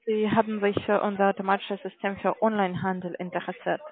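A woman's voice: medium pitch, flat delivery, fast. A woman on a sales call, formal, polite but firm. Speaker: call centre sales agent. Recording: narrow phone-line audio.

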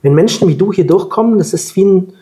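A man from Germany in his forties, telling lively travel anecdotes as the guest at a table interview, on a lapel mic. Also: high voice, fast. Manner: lively anecdotal storytelling, colloquial. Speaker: guest, long-distance scooter traveller. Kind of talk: storytelling in a sit-down interview. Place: room with plants at a table.

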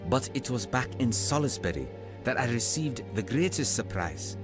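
Actor as humble African evangelist, expressive storyteller. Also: male voice, mid-range voice, normal speed, loud music behind the voice, natural voice. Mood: reflective. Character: humble, self-doubting young African evangelist telling his story. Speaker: narrator and lead actor in a radio drama. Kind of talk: dramatised first-person narration.

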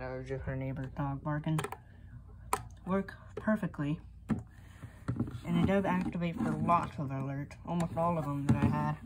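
A hobbyist, heard as a woman, giving a casual, rambling, unscripted show-and-tell of a collection into a handheld camera. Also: medium pitch. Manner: rambling, unscripted, often unsure. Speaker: hobbyist collector showing weather radios. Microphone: handheld phone or camera. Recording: loud background noise, handheld camera audio.

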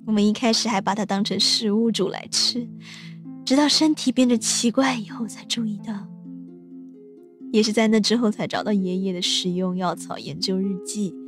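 A woman playing a calm, matter-of-fact young girl in an audio drama. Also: mid-range voice, moderate pace, soft background music, close to an everyday voice. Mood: calm, explaining. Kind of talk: Mandarin audio drama acting.

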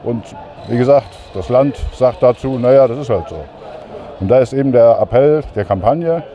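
A man's voice: medium pitch, moderate pace, mellow. A man in his fifties presenting an emphatic on-location report to camera outdoors. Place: city street outdoors. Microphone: handheld mic. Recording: dull outdoor sound, faint street noise.

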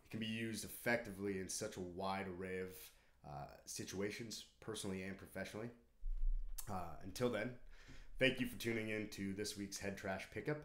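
A man's voice: low-pitched, steady tone, normal speed, fairly bright tone. A man in his thirties presenting a scripted sales-training explainer to camera from a small home office. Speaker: sales trainer and video host. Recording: roomy home-office echo.

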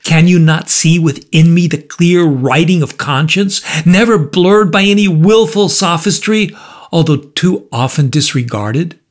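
A man's voice: on the high side, measured pace, close to an everyday voice. A man playing a tormented, defiant murderer in a storybook reading, crying out in impassioned, rhetorical pleas. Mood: indignant, pleading. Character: anguished male murderer, defiant, tormented, pleading.

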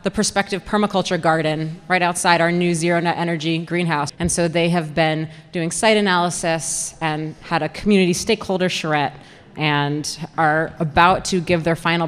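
A young woman giving a prepared, organized public presentation as a program coordinator, speaking into a microphone on a stand. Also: on the low side. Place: indoor presentation room with audience. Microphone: handheld-style mic on stand. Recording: reverberant hall through PA microphone.

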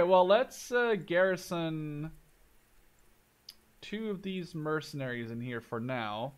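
Guy in his 20s doing lets play commentary, kinda high voice, excitable, thinking out loud. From Canada or the Netherlands. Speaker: gaming video host. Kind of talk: casual let's play commentary.

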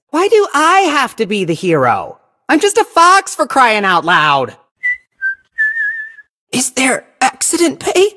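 A woman's voice: fairly high pitch, sharp tone, full character voice. A woman voicing a cute, lazy slacker fox from a video game, complaining wryly. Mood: whiny, playful. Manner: lazy, wry, sighing complaints. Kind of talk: video game character voice lines.

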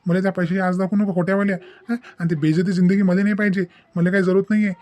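A man's voice: sits high, level tone, very fast, a little mellow, no echo, close to an everyday voice. A man playing an indignant, principled young son in a cartoon, lecturing emphatically in a Vidarbha Maharashtrian dialect. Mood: defiant. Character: indignant, principled young adult son defying his mother. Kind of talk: comic family drama cartoon dubbing.

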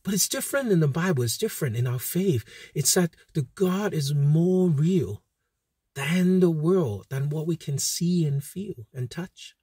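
A man in his thirties giving an informal sermon as a pastor, speaking haltingly with frequent hesitations and repeated words. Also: on the high side, animated, warm tone, slightly rough, dry recording, clean studio sound. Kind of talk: informal recorded sermon with Bible teaching. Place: inside a parked van at night.

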